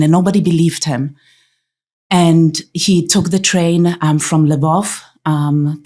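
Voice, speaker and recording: A woman from Austria speaks in a low voice as a podcast guest. She tells her story haltingly, with frequent fillers. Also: darkish tone. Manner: halting, many ums, laughs easily. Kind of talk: telling her life story.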